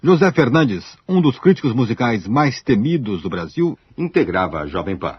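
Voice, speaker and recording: A man's voice: medium pitch, very animated, moderate pace, dull audio, over music. A man with a Brazilian accent reading scripted radio documentary narration.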